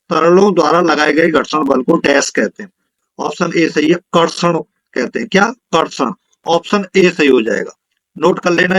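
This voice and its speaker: High-pitched man teaching. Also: a bit bright, dry recording, rough recording. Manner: instructive, repeats questions and answers. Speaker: physics teacher for exam preparation. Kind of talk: exam question-and-answer lesson.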